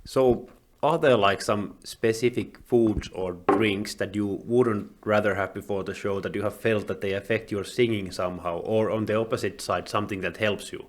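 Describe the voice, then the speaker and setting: Male interviewer, lowish voice, relaxed long-winded questions. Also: normal speed, a little hiss or hum. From Finland.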